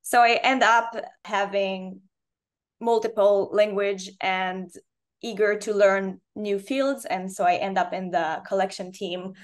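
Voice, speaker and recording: A woman's voice: mid-range voice, fairly even intonation, slow pace. Woman. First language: Russian. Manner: earnest, slightly non-native phrasing. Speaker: cybersecurity analyst, guest. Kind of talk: casual interview talk.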